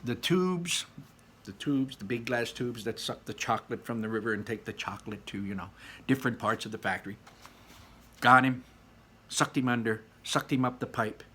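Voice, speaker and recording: A man's voice: medium pitch, moderate pace, pushed and bright, a little hiss or hum. A man reading a children's story aloud with dramatic emphasis, repeating phrases and dropping in casual asides.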